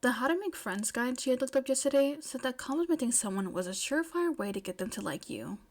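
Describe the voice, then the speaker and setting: Female storyteller, fairly high voice, scripted fiction narration. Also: lively intonation, fast.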